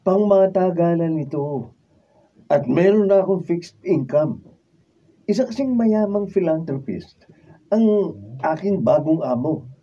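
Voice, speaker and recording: A humble, eager Filipino magician in a radio drama. His voice sits high and swings widely in pitch, with an unhurried showman's delivery. The recording is a little dull. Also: expressive, mellow. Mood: proud, reassuring. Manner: showy when performing, deferential to his boss. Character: humble, eager male street magician, a struggling family man.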